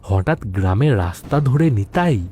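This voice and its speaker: A man's voice: on the low side, lively intonation, unhurried. A man narrating a scripted story dramatically, with music behind his voice. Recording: background music under the voice.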